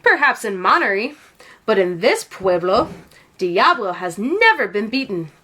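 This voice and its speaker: A woman's voice: mid-range voice, sing-song, a little slow, bright, forceful tone, full character voice, low-quality recording. A woman voicing a proud, hot-tempered uncle in a storybook, emphatic and exclamatory.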